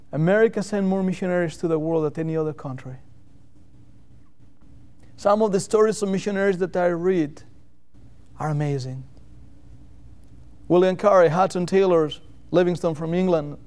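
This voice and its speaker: A man's voice: high-pitched, a little mellow. A man from Catalonia with a Catalan accent preaches a sermon in English as a second language, earnestly repeating key phrases for emphasis. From Catalonia, Spain. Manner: earnest, rhetorical repetition with deliberate emphatic phrases. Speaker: missionary preacher.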